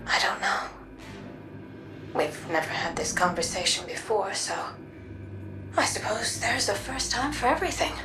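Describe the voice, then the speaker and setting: A weary, guarded woman time traveler played by an actress, mid-pitched, with music behind. The game character speaks in terse, reflective phrases with a fatalistic gravity. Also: animated, moderate pace, long pauses, close to an everyday voice. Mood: wry. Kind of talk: dramatic video game cutscene acting. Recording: roomy echo, distant, music behind.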